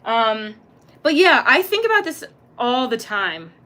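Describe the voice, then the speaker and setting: A young woman live-streaming, chatting casually and reacting to her chat in a rambling, slangy, animated way. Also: on the high side, unhurried. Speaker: livestreamer reading chat.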